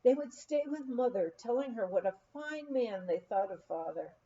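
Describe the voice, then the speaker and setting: A woman reading a family memoir aloud in a gentle storytelling way, voicing family members' lines in dialogue. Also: on the high side, animated, normal speed, lo-fi sound. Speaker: reader of a memoir. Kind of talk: audiobook-style memoir reading.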